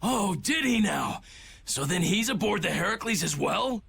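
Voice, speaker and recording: A pompous knight officer in a video game, blustering and indignant. His voice is high and gravelly, with a raspy edge, and his delivery swings wide and lively. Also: normal speed, a little hiss or hum, exaggerated voice. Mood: deflated. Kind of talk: game voice acting.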